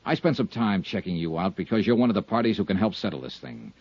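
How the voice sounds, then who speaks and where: Hard-boiled radio detective, husky voice, old radio sound. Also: male voice, deep voice, big pitch swings, quick pace, exaggerated voice. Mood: firm. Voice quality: firm, pressing, hard-boiled. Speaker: insurance investigator and narrator. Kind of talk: 1950s radio detective drama. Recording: dull old radio recording.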